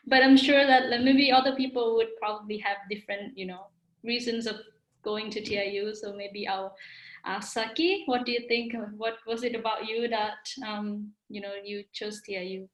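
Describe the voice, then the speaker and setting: Higher-voiced woman on a roomy video call. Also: fairly even intonation, moderate pace, fairly bright tone. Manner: friendly, loose, many fillers. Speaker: alumna panelist. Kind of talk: webinar panel talk.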